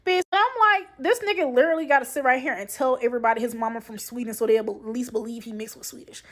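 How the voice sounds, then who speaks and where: Fast high-voiced woman, brash ranting. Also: fairly bright tone. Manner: brash, heavy swearing. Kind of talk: casual profane rant.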